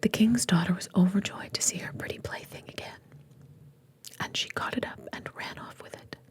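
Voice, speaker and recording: A woman reading fairy tales aloud in a breathy, unvoiced ASMR whisper, slow and deliberate. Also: mid-range voice. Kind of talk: whispered ASMR storytelling with asides.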